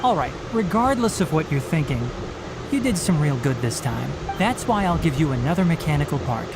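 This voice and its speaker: A man voicing a calm, clever young forest ranger in a video game, measured and precise. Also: on the high side, over music, low-quality recording. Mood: matter-of-fact. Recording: game audio with noise.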